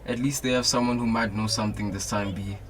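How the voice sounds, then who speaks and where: A man's voice: lowish voice, steady tone, fast, lightly acted. A young man acting in a student radio drama as a level-headed, sceptical police detective.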